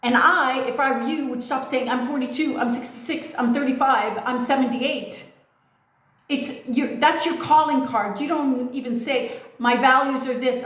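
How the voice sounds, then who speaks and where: A woman's voice: high-pitched, a bit bright. A woman giving an impassioned, profane monologue as a spiritual coach, firing off rhetorical questions and swearing freely. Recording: very echoey room.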